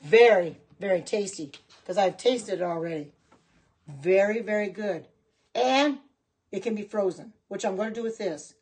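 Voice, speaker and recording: A woman's voice: mid-range voice, normal speed, a little mellow, breathy edge. A middle-aged woman chatting casually on a livestream, reading out and answering viewer comments as she goes. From Oregon. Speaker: livestream host and kitchen products seller.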